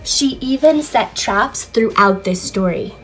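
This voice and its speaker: Unhurried woman reading a children's story. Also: fairly high pitch, expressive, faint music behind, rough recording. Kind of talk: expressive read-aloud storytelling for children.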